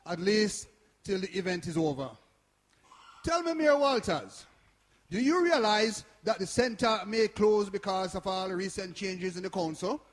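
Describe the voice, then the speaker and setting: High-voiced, blunt committee man actor playing. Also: expressive, measured pace. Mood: chatty. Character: blunt, grumbling male committee member. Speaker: actor in a community radio play. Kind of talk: radio drama performed before an audience.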